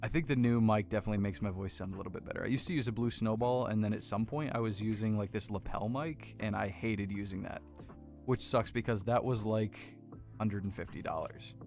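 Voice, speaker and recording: Deep-voiced American man chatting on livestream. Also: a little fast, gravelly, darkish tone, soft background music. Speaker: livestream host building model kits. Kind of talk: casual chat with live viewers. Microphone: close desk condenser microphone.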